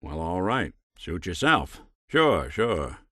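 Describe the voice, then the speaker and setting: A world-worn android private eye from a video game. He speaks in a low American voice with dry sarcasm and a noir drawl, and his delivery swings freely up and down. The sound is very close and dry, like a studio booth. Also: sing-song, slow pace, a bit bright, slightly rough, no room sound, exaggerated voice. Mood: dry, easygoing. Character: weary synth detective, world-worn male android gumshoe. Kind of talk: video game character dialogue.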